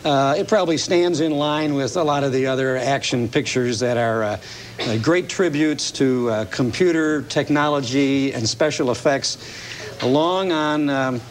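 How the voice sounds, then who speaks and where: An American man giving a casual, halting, explanatory movie review as a guest on a morning TV show. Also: mid-range voice. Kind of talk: casual interview commentary. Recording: slightly noisy TV broadcast recording.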